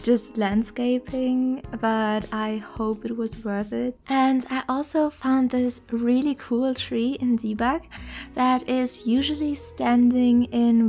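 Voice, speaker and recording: Female video creator, casual game-build voice-over; slightly high, creaky voice, music underneath. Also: a little mellow.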